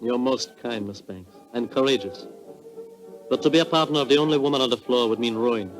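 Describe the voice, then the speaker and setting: A man's voice: medium pitch, a little fast, faint music behind, lightly acted, low-quality recording. A male actor playing a proud, agitated composer, fretful and melodramatic, in 1940s radio drama style. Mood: anguished.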